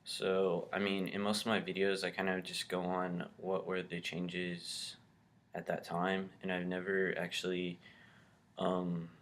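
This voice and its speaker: A man's voice: deep voice, monotone, measured pace, a bit bright. A young man talking casually to his camera in a vlog, rambling with lots of ums and likes. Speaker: vlogger.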